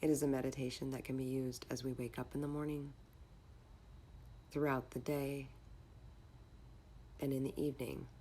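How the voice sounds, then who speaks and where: Very low-pitched woman guiding meditation. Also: a little hiss or hum. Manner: calm, measured, instructional. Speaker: meditation guide. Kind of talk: guided meditation narration.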